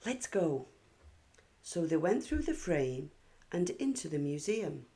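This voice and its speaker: A woman's voice: low-pitched, big pitch swings, a little slow, dark tone. A woman hosting and narrating a children's storybook read-aloud.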